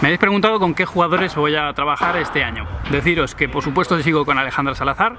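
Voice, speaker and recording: A man, a padel coach talking casually to his vlog camera, relaxed and chatty with frequent restarts. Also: a little high, quick pace. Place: outdoor padel court. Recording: loud outdoor background noise.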